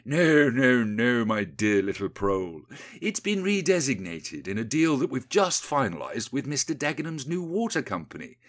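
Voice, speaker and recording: A man's voice: medium pitch, sing-song, normal speed, voice pushed up. A man voicing a creepy, condescending upper-class politician and undertaker with a pompous drawl in a satirical storybook parody. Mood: smug condescension.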